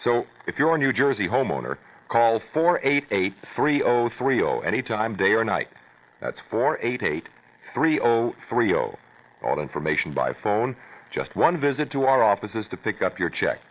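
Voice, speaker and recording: A man reads a radio commercial with a persuasive pitch. His voice rises and falls in a lively way. The sound is tinny and phone-like, from an old broadcast. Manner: persuasive sales pitch, slowing down for numbers. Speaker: commercial announcer. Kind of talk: radio advertisement.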